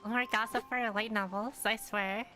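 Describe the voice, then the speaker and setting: A woman's voice: mid-range voice, fairly even intonation, moderate pace, bright, forceful tone, faint music behind. A woman streaming a game with casual, slangy commentary and muttered reactions.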